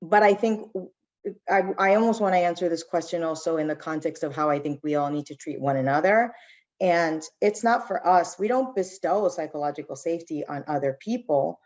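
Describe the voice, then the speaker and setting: A woman's voice: deep voice, expressive, fairly quick, darkish tone, muffled recording. A woman speaking thoughtfully and earnestly as a panelist in a discussion, often checking in with a questioning tag. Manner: earnest, reflective, asks "right?" as she goes.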